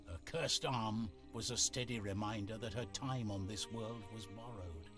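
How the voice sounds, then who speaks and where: An actor playing a wry, knowing storyteller, giving theatrical scripted narration for a fantasy game. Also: male voice, lowish voice, a little slow, natural voice. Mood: wry, ominous. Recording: dramatic music behind.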